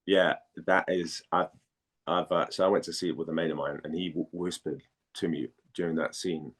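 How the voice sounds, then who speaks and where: A low-voiced man from Denmark chats as an interview guest. He talks casually and haltingly, doubling back on words while he works out what he means. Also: fairly even intonation. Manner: halting, repeats words as he thinks. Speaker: guest, actor and former model.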